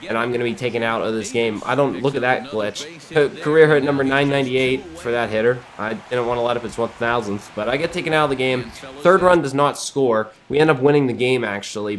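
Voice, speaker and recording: An American man hosting a gaming series, giving casual, rambling, conversational commentary while a bit sniffly from getting over the flu. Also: mid-range voice. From Ohio, United States. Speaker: gaming YouTuber, series host.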